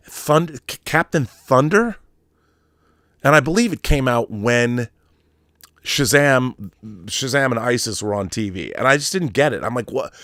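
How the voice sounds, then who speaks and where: A man's voice: medium pitch, a bit bright, no room sound, studio quality. A middle-aged American man hosting an online show, delivering a casual, opinionated rant in an exasperated, emphatic, rambling way, often acting out imagined exchanges. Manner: rambling, acts out imagined dialogue.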